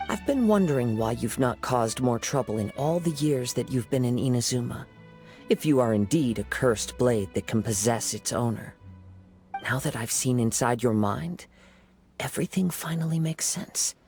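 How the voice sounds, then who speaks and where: A calm young swordsman, voiced by a man, reasons aloud in a video game. His voice is slightly gravelly and his delivery is measured and unhurried. Faint music plays behind him. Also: medium pitch, lightly acted. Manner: measured, composed, analytical. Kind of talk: game voice acting. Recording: game soundtrack under voice.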